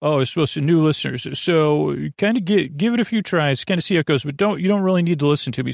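Gravelly, meandering man hosting a podcast. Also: medium pitch, no echo, studio quality. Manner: meandering, halting, digressive, often trailing off. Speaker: podcast host. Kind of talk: rambling bedtime monologue meant to lull listeners to sleep.

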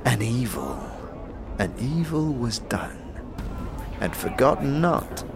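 Over clear background music, a grimy, half-crazed beggar storyteller spins his tale for coin. His pitch leaps and plunges wildly while the pace drags slowly. He echoes his own phrases in odd inverted syntax and sinks into theatrical whispers, as in a dark fantasy radio play. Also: big pitch swings, heavily acted. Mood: feverish, menacing. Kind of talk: theatrical audio drama storytelling. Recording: music under the voice.

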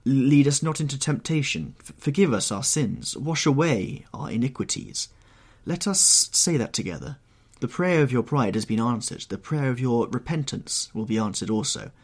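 A man's voice: medium pitch, expressive, moderate pace, slightly rough, voice pushed up. A man voicing a horrified, anguished painter who pleads and moralises in an agitated, exclamatory way, in an audiobook story. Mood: pleading, fervent.

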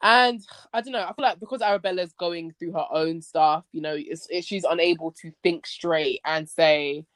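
A woman hosting a casual podcast chat about a TV series, expressive and slangy. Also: lowish voice, moderate pace, few pauses, no room sound. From Nigerian background. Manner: expressive, slangy, acts out quoted lines. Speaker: podcast host.

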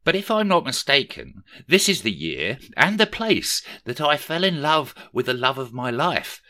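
A man voicing a pompous, money-obsessed male politician with a shrill, whiny manner. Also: sing-song, normal speed, bright, forceful tone. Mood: excitable, whiny.

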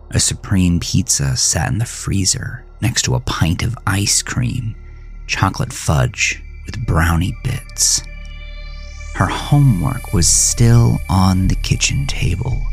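A man narrating a scripted horror thriller in a measured, deliberate, brooding storytelling manner. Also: rumbling low, vocal fry. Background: music.

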